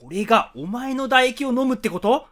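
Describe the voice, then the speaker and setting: An actor playing an exasperated character trapped in a dark room, with blustery, incredulous retorts in a comedy radio drama. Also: mid-range voice, animated, normal speed, lightly acted. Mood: exasperated outrage.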